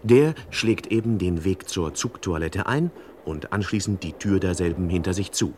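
A man's voice: sits low, moderate pace, noisy background. A man narrating a scripted comic crime radio play in an ironic, mock-pompous, ornate way.

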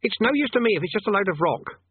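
A man's voice: high-pitched, lively intonation, quick pace, breathy edge, no echo, phone-quality sound, voice pushed up. A man voicing a bossy, pedantic young boy with a whiny, insistent manner in an audiobook story. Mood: demanding, impatient.

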